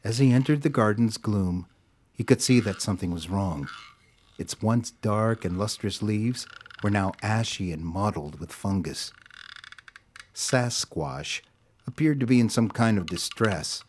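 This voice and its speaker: Man, lowish voice, narrator, scripted fiction reading, audio drama storytelling. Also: darkish tone, a little hiss or hum.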